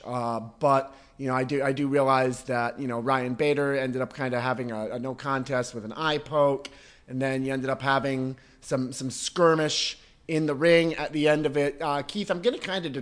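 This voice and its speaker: A man hosting a podcast, chatting casually, laughing easily and setting up long questions. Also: mid-range voice. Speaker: podcast host.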